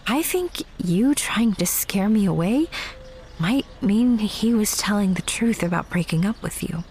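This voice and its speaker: Brief: woman as sarcastic young theater girl, animated pitch, faint hiss. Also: measured pace, rough recording. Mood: wry. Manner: sarcastic, guarded. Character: wry young theater girl, new girlfriend. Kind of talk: audio drama acting.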